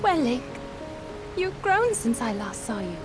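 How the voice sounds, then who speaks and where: An actress voicing a gentle young fish-folk princess who speaks softly and sweetly in a video game cutscene. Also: female voice, a little high, very animated, a little slow, over music. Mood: fond. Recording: loud game score, rain behind.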